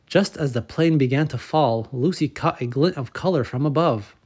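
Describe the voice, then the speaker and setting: A man narrating a children's storybook as host, in a measured, expressive read-aloud manner. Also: mid-range voice, husky. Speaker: storybook reader and channel host.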